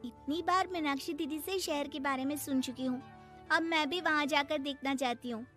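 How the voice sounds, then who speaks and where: A woman plays an eager, naive village girl from a cartoon moral tale. Her voice is very high and bright, with a childlike, chirpy delivery and faint music underneath. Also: moderate pace. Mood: pleading, excited. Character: excitable, naive, boastful village schoolgirl, eager for the city. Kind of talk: Hindi moral-story cartoon dubbing.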